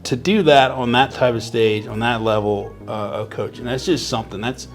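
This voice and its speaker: A man's voice: medium pitch, moderate pace. A man from Houston, Texas, working as a substitute teacher, giving earnest, reflective interview answers.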